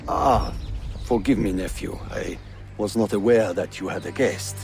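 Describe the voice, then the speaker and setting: A man's voice: lowish voice, measured pace, creaky, a little mellow. A man playing an urbane, middle-aged Ottoman prince with an aggrieved air, formal and theatrical, in a video game. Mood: guarded, polite. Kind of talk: video game cutscene acting. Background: cinematic game music.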